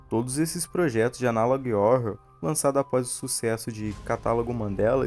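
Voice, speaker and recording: Brazilian man narrating over music. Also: mid-range voice. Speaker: video essay narrator. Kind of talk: scripted documentary-style narration.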